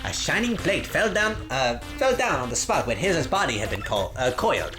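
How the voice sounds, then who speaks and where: A podcaster voicing a boastful, excitable video game hero who recounts tall adventure tales in slangy, dramatic storytelling, in a roomy space. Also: medium pitch, fairly quick, lightly acted. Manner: bragging, slangy storytelling, often addressing listener as bro. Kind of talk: dramatic read-aloud of video game dialogue. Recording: roomy recording with loud music.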